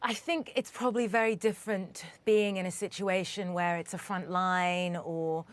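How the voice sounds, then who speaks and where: A woman correspondent from Sudan answering interview questions in a measured, earnest way, often restarting her sentences as she thinks. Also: fairly low pitch, fairly even intonation.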